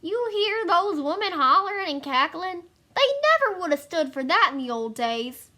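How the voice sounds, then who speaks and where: A woman's voice: very high-pitched, expressive, moderate pace, sharp tone, full character voice. A woman playing a grumbling elderly townsperson who reminisces in a folksy way, in an amateur play acted over video call. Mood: disapproving. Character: grumbling elderly town old-timer, nostalgic for strict ritual. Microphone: video call microphone.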